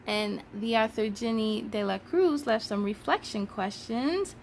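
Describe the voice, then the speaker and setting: A woman hosting a children's read-aloud, reading expressively and speaking to young viewers in an animated, emphatic way. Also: a little high, measured pace, vocal fry, a little hiss or hum.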